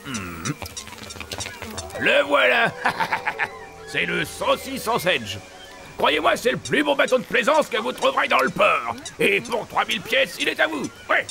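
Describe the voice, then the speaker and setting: A man voicing a shady, gruff old sailor with a gravelly voice in a cartoon. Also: very high-pitched, very animated, a little slow, exaggerated voice. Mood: sly. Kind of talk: cartoon voice acting. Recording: loud music underneath.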